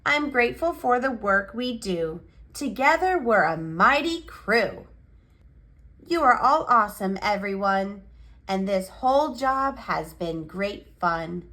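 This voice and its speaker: A woman reading a picture book aloud for young children in an expressive, cheerful and measured storytelling manner. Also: mid-range voice. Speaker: children's picture book reader and channel host.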